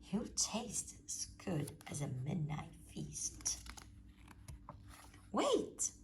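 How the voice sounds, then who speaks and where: Low, expressive woman reading a story. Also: slow, gravelly, noisy background. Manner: expressive, often whispering for suspense. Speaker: children's storyteller. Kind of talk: storybook read-aloud.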